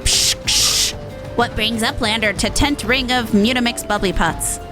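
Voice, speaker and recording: A woman voicing a quirky goblin master from a fantasy game, speaking haltingly in odd broken phrases with little puffs. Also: fairly high pitch, natural voice. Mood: quizzical, scheming. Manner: halting, odd word order, puffing psh noises. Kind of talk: fan read-aloud of fantasy game dialogue. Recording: game music behind the voice.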